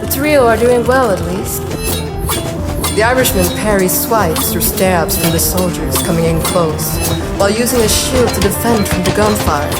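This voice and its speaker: Casting: actress as playful, sarcastic female fighter held captive, flippant storyteller of an audio drama, loud score behind. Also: fairly low pitch, lightly acted. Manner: flippant, wry, slangy. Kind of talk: audio drama acting and first-person narration.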